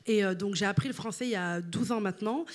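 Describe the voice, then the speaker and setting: A woman's voice: mid-range voice, quick pace. A woman who grew up in Italy, Italian as her first language, talking at length as an interview guest with frequent fillers. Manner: fluent and expansive, many fillers. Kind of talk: interview conversation.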